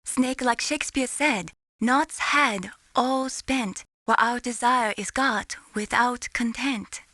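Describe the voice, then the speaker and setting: Young female mission operator, caring, earnest, encouraging, high bright voice, video game. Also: takes it slowly, no room sound, full character voice. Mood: gently advising. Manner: earnest, encouraging, gently chiding. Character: caring young Chinese-American woman, mission radio support operator.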